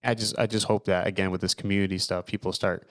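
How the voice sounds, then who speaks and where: Young male host, low voice, dry close sound, loose and rambling. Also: flat delivery, quick pace, no room sound. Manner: rambling, many fillers and restarts. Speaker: podcast host. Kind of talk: casual interview chat.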